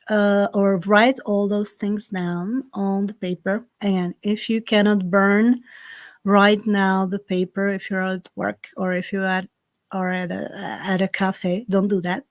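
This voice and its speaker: A woman with a Slovak accent, a native Slovak speaker, hosting a live-streamed ritual from home and giving slow, gentle instructions full of fillers. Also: mid-range voice, dry recording. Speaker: spiritual ritual host. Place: home in the south of France. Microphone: computer on a live stream.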